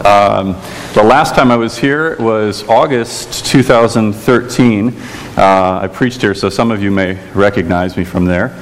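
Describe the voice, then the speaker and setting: A man from Chicago with a Chicago accent, preaching a sermon as a guest preacher, telling stories gently and earnestly with some "uh"s. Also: lowish voice, a little slow, in a large hall, slight background noise, rough recording. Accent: American, Chicago. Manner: storytelling, gentle and earnest, with filler "uh"s. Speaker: guest preacher, theology lecturer. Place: church.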